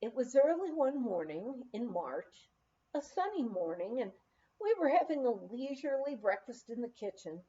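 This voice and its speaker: A woman reading a memoir aloud in an expressive, unhurried storytelling manner. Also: a little high, muffled recording. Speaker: audiobook reader.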